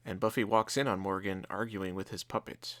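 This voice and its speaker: A man's voice: on the low side, little pitch movement, brisk, a bit bright, dry recording. A man co-hosting a review, casually recapping and commenting on a TV episode. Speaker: reviewer, co-host.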